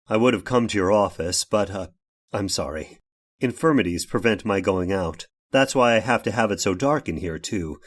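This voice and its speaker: Genteel, halting inventor, low swooping voice. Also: male voice. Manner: genteel, halting, polite, rambling. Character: courteous, ailing, secretive inventor of artificial limbs confessing. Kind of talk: old time radio horror drama acting.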